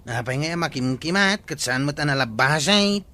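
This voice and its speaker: A man's voice: fairly high pitch, animated, moderate pace, bright, forceful tone. A man playing a cheeky, skeptical villager who teases and argues, with an Ilocano accent, in a comedic radio drama. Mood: mocking, questioning. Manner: teasing, argumentative. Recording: faint noise and ambience.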